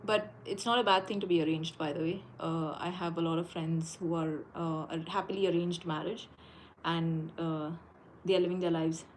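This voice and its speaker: Brief: young woman, fairly low voice, casual livestream chat, hesitant with frequent fillers, faint room hum. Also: steady tone, moderate pace, low-quality recording. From India. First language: Konkani. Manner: hesitant, many fillers and restarts. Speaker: livestream host. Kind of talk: casual live Q&A. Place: indoors, abroad while traveling.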